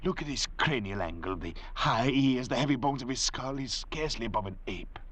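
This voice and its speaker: An actor playing a grave, lecturing ship's doctor stranded on a raft, who also narrates, in 1940s radio acting style. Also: male voice, mid-range voice, animated, a bit bright, breathy edge. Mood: solemn. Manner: measured, pronouncing judgments in a lofty tone.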